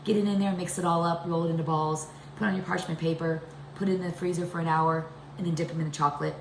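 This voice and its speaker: A middle-aged woman hosting a casual home cooking demonstration, her voice congested and deepened by a chest cold. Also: fairly even intonation, fast talker, gravelly. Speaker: home cooking video host. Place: indoors, home kitchen. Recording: echoey room with background noise.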